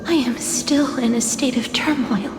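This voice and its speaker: Soft, high-voiced sorrowful maiden of death, echoing. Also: female voice, a little slow. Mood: solemn, troubled. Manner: soft, solemn, formal, breathy in places. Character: gentle, sorrowful young woman who personifies death. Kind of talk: dramatic scripted game voice acting. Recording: echoey long reverb, music behind.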